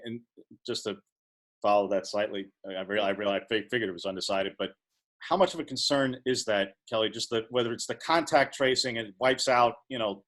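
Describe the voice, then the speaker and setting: A man's voice: on the low side, steady tone, brisk, a bit bright. A man, a sports reporter, asking a long question at a press conference and restarting it several times.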